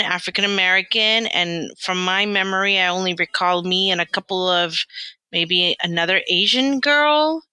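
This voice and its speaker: Lowish, bright-voiced woman telling her story. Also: clean tone, dry recording, low-quality recording. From England. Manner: rambling, with many restarts and laughs. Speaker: integrative physical therapist; guest. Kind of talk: casual interview conversation.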